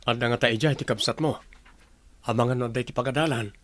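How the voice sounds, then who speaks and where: A man's voice: mid-range voice, quick pace, fairly bright tone, natural voice. An actor with a Filipino accent playing a practical male partner in an Ilocano radio drama. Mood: matter-of-fact.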